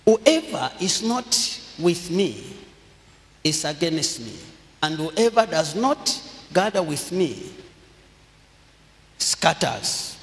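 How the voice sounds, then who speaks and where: A middle-aged pastor speaks with a slightly raised voice and a measured, unhurried delivery, prompting his congregation to repeat after him. He preaches into a handheld microphone, and his words ring out with a long reverb through a large church hall. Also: on the high side, big pitch swings, a bit bright. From Zambia. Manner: slow, deliberate preaching with call-and-response prompts. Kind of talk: sermon.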